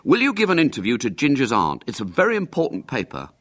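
A man's voice: mid-range voice, huge pitch swings, fast talker, a bit bright, no echo, studio quality, pitched above the performer's own voice. A man reading a story, voicing a blunt, matter-of-fact young schoolboy who leads a gang.

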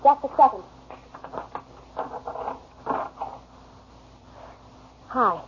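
A woman's voice: high voice, expressive, a little fast. An actress playing a bitter, scornful wife who is fed up with her husband in a drama. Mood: cold, curt. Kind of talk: screen drama acting. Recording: muffled lo-fi audio, faint noise.

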